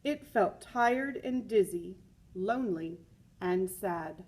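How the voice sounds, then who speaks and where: Teacher lady reading a kids book out loud, takes her time, bit husky, expressive w little side comments. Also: mid-range voice, takes it slowly. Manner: expressive, with emphatic repeated words. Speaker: teacher reading aloud to students. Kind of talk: picture book read-aloud with chatty asides.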